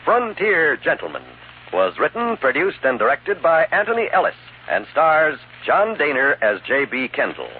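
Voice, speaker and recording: Old radio announcer man, deliberate formal read, thin sound, bit of noise. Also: on the high side, huge pitch swings, narrow, tinny audio. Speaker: announcer reading closing credits. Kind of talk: scripted 1950s radio announcing.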